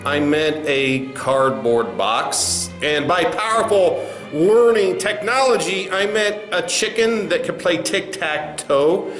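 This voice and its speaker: A man's voice: fairly high pitch, fairly bright tone. A man playing a smug, dismissive corporate spokesman in a comedy sketch, stammering through condescending doublespeak. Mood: smug, evasive. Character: smug, dismissive male corporate spokesman facing angry creators. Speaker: spokesman at a press address. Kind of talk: satirical comedy sketch speech. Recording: roomy echo with faint music.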